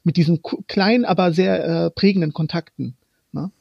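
A young man whose first language is Romani speaks as an interview guest, pausing often to hunt for the right word. His voice sits fairly high and carries a gravelly, husky edge. The recording is dry and a little dull, like lo-fi sound. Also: no room sound. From Germany. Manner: halting, pausing often to find words. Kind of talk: interview conversation.

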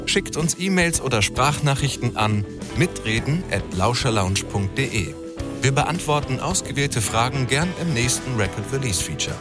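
A man reading scripted credits as an announcer, with clear and formal delivery. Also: mid-range voice, animated, moderate pace. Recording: loud guitar music under the voice. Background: loud guitar music.

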